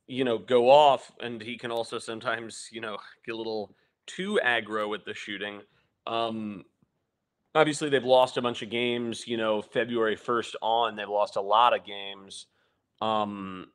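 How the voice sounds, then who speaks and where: A man's voice: medium pitch, a bit bright, clear, resonant tone. A young man co-hosting a casual postgame sports podcast over a video call, talking through the matchup in a rambling, analytical way with many fillers and restarts. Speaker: podcast co-host.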